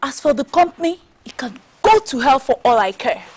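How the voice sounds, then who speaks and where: A pleading daughter. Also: female voice, high voice, very animated, moderate pace, background noise. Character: young woman in forbidden love, earnest. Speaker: daughter defying her mother. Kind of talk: melodrama acting.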